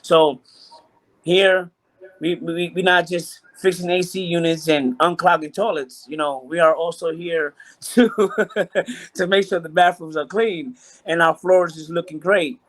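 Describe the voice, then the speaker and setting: A man's voice: fairly high pitch, steady tone, measured pace, fairly bright tone. A man from Puerto Rico, a hotel chief engineer, talking as a guest over a video call, often repeating himself and restarting sentences. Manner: repeats phrases, frequent restarts. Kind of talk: casual interview chat.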